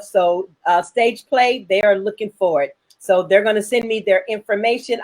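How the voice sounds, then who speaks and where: A woman hosting a chat about her work as a filmmaker, answering viewers in a frank, self-assured way. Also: medium pitch. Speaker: host, independent filmmaker.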